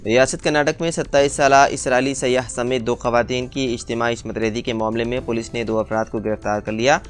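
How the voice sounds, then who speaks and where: A man reading scripted news as a bulletin narrator, with formal Urdu news diction. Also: mid-range voice. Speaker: news reader.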